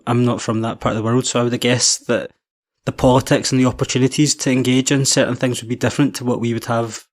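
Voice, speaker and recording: A man in his twenties hosting a podcast, reading a measured intro and then chatting friendly with his guest. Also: medium pitch, level tone. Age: 20s. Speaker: podcast host. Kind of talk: scripted intro then casual interview.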